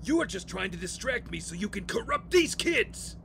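An actor playing a paranoid, anguished war veteran turned hostage-taker in an audio drama, agitated and halting as he rants. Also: high voice, big pitch swings, vocal fry, pushed and bright, a little hiss or hum, heavily acted. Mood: frantic rage.

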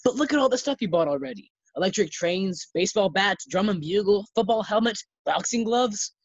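A man playing a sensible friend with a husky voice and dry, sarcastic delivery in an old-time radio sitcom style. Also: high-pitched, animated, brisk, no room sound. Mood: dry, teasing. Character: dry, sensible male friend needling an overexcited pal. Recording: slightly dull, muffled sound.

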